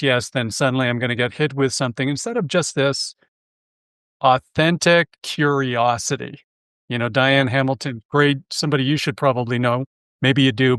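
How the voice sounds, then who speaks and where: A friendly Canadian man hosts a conversation, talking with easy enthusiasm and frequent self-corrections. His voice sits at a middle pitch and sounds close and dry. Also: a bit bright, smooth, no room sound. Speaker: podcast host. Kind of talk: conversational interview.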